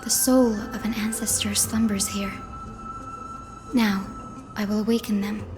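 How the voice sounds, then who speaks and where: A woman playing a gentle, earnest young female healer in a video game, speaking in a solemn, polite and formal manner. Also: mid-range voice, flat delivery, a little slow, close to an everyday voice. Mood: calm, explaining. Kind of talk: scripted video game voice acting. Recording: game audio with background music. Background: music.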